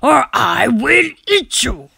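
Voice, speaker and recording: Woman as a grumbling, slow-talking storybook monster. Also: fairly high pitch, sing-song, creaky, pushed and bright, slightly rough, dry recording, clean studio sound, voice pushed up, full character voice. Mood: threatening, fed up. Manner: grumbling, deliberate, whispers and bellows. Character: grumpy, reluctant male cave-dwelling monster, muttering threats. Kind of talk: picture-book character voice.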